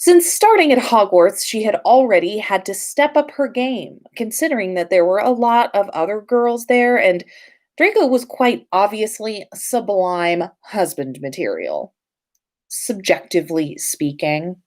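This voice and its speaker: Unhurried woman with lively, swooping intonation. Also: medium pitch. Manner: informal, playful, sweary, self-interrupting. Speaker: podcast host reading listener fan fiction. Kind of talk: casual livestream chat and story reading.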